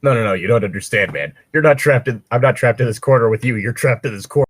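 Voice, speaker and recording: A man's voice: mid-range voice, quick pace, husky. A man reading fan fiction aloud casually and breaking in with sarcastic, crude wisecracks. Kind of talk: casual read-aloud with joking commentary.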